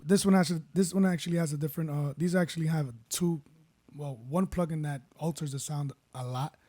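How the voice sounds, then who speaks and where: A laid-back man with a baritone voice talks through his beat-making in a loose, rambling way, often restarting his sentences. His voice sits a touch higher than you'd expect. Faint music plays behind him. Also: fairly quick. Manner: relaxed, rambling, self-correcting. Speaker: beat producer. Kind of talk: casual tutorial talk.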